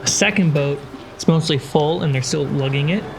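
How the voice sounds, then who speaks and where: A man narrating a tabletop role-playing game as game master, describing scenes in short phrases with pauses. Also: fairly high pitch, creaky, background noise. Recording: prominent music bed behind voice. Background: background music score.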